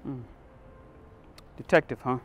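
A man playing a middle-aged police captain, calm, shrewd and skeptical, in a scripted short drama. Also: natural voice. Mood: wary, skeptical. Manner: measured, skeptical. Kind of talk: scripted short-film acting. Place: outdoors in a parking lot.

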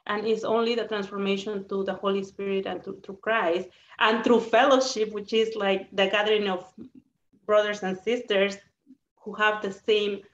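A woman's voice: mid-range voice, flat delivery, normal speed. A woman from Peru with a Spanish accent, talking earnestly as a panelist on a video call and sometimes searching for words. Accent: Peruvian Spanish. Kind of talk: roundtable discussion. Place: home room on video call. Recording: roomy, muffled video call.

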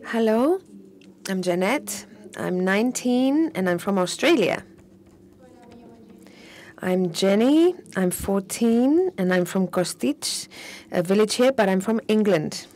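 A woman giving live interpretation of a panel discussion, steady but slightly halting, with restarts as she keeps up with each speaker. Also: mid-range voice, slight background noise.

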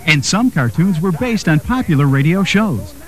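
A man's voice: on the high side, sing-song, normal speed. A man reading scripted promotional narration as an announcer. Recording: loud music under the voice.

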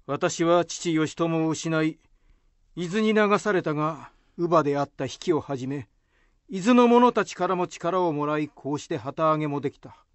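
A man's voice: fairly high pitch, normal speed, no room sound, natural voice. A man acting in a Japanese radio drama as a dignified, composed samurai commander, with lordly, archaic speech. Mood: dignified, approving.

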